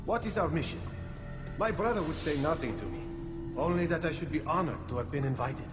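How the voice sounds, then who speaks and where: An actor playing an eager, naive young medieval assassin, inquisitive and earnest, in a video game. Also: male voice, on the high side, expressive, fairly quick, reverberant, long reverb tail, music in the background, lightly acted. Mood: curious. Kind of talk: video game dramatic acting.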